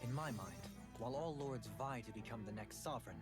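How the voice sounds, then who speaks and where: An actor playing a refined, gentle male noble in a video game, speaking politely and formally. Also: medium pitch, brisk, soft background music, natural voice. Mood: calm. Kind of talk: game voice acting.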